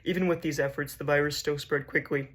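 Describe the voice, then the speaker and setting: Flat-toned man reading a school report. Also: a little high, a little fast. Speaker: student presenting a school project. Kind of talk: scripted narration, read aloud.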